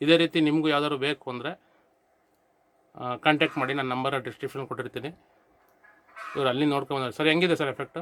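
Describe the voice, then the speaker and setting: A man's voice: medium pitch, steady tone, racing, long pauses, a bit bright, slight background noise. A man presenting a casual vlog as host and audio installer.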